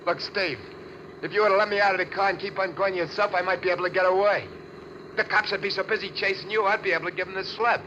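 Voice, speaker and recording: Cowardly young robber, American, very sharp higher voice, nervous whine, 1940s radio acting, slight background noise. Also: male voice, steady tone, fast, muffled recording. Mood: pleading. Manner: whining, nervous, stammering, pleading. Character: cowardly, whining young male bank robber. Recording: old radio recording, faint vehicle noise.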